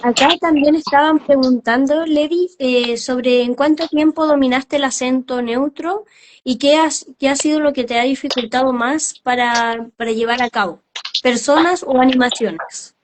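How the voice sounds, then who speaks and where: A woman's voice: high voice, normal speed, a little hiss or hum. A woman hosting a casual interview, asking curious, rambling questions about acting and dubbing. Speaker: interview host.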